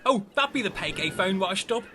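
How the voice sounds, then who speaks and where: Cheerful castaway oddball, comic radio play, high-pitched, daft, animated. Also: male voice, brisk, noisy background.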